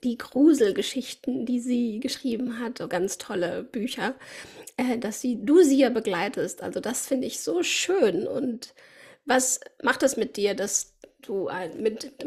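A woman's voice: on the high side, animated, measured pace, breathy edge. A woman hosting a podcast, chatting casually and encouragingly with her guest. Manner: encouraging, laughs often and affirms. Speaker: podcast host. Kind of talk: casual interview talk.

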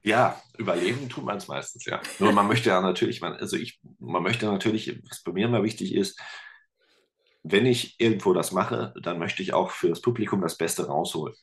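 Very deep-voiced German man rambling. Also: fairly quick. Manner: rambling, many fillers and restarts; self-deprecating humor. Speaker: podcast guest, teacher and musician. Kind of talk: casual interview chat.

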